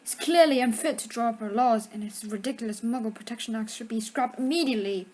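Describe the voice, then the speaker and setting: A woman's voice: a little high, quick pace, darkish tone, slight background noise, close to an everyday voice. A woman playing a sneering, arrogant schoolboy wizard bully in an audio drama. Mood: scornful.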